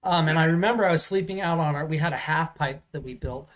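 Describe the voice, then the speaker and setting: Co-host, man, loud carrying voice, rambling storyteller, slightly high. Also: fast. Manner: loud voice that carries; rambling, many fillers and restarts. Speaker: podcast co-host. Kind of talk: casual storytelling chat.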